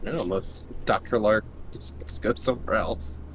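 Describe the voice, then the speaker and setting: A man's voice: lowish voice, fairly even intonation, moderate pace, fairly bright tone, a little hiss or hum, low-quality recording. A man chatting casually as a player at a tabletop game.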